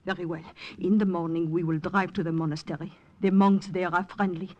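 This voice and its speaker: An actress playing a proud, resourceful older French resistance madame with a French accent, in 1940s radio style. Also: female voice, fairly low pitch, fairly even intonation, brisk, a little mellow, lo-fi sound, natural voice. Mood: assured. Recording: noisy vintage 1940s radio recording.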